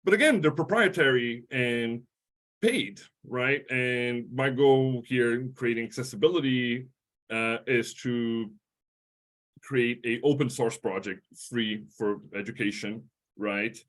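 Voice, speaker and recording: A middle-aged man with a Portuguese-speaking accent gives a lecture as a history professor. His delivery is halting, full of fillers, restarts and tag questions. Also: mid-range voice, fairly bright tone. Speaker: history professor giving a talk. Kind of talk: academic lecture.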